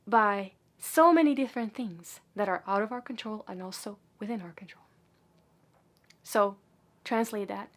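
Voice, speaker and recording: A woman vlogging in a casual, opinionated monologue, with sudden loud emphatic bursts and quiet asides. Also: mid-range voice.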